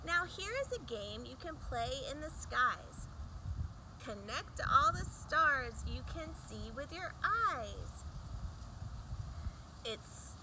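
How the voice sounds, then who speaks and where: High, animated woman reading to children. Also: background noise. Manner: sing-song, emphatic delivery with deliberate pauses. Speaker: children's book reader. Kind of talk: expressive read-aloud of a rhyming picture book for children.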